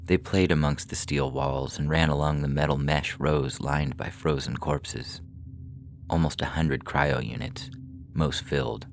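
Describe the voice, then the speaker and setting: A man reading scripted short fiction as a narrator, in a measured, dramatic, literary manner. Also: extremely low pitch, creaky. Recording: music behind the voice.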